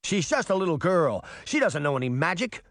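A man voicing a stern, protective father who argues forcefully. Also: a little high, big pitch swings, brisk, no room sound, studio quality, voice pushed up. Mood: protesting.

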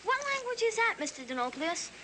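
A woman's voice: very high voice, sing-song, fast talker. A performer playing a curious, innocent young boy in a television drama, speaking in an eager, naive way. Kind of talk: acted television drama dialogue. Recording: loud outdoor water and engine noise.

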